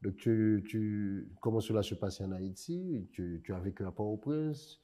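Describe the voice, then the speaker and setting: A man's voice: fairly low pitch, normal speed, dark tone. A man hosting an interview, asking questions and murmuring agreement as he listens attentively. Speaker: host.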